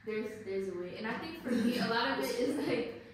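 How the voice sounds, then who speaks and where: A woman's voice: fairly high pitch, animated, a little fast. A young woman speaking as a panelist in a panel discussion, talking candidly and casually with many fillers. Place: art gallery. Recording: echoey gallery from far off with noise.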